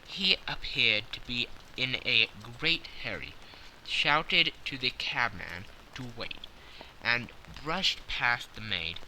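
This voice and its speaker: A man's voice: medium pitch, sharp tone, a little hiss or hum, rough recording. A man narrating a classic story as a scripted, dramatic audiobook.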